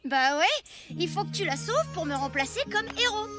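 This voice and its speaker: A woman voicing an earnest, excitable and easily flustered young boy in a children's cartoon. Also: very high voice, moderate pace, heavily acted. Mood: scheming. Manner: hesitant, pleading, excitable. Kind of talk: French animated children's cartoon dubbing. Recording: music under the voice.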